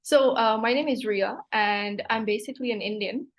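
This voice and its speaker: A woman's voice: a little high, normal speed, a crowd in the background. A woman in her twenties from Hong Kong, a PhD student chatting as a guest on a video call, with frequent "like" fillers. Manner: chatty, fills with "like". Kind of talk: casual interview chat.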